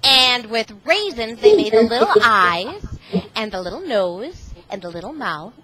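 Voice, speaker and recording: A woman's voice: on the high side, sing-song, measured pace, sharp tone. A middle-aged woman reading aloud to a class of children over a video call, exclamatory and often asking them questions. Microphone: video call microphone.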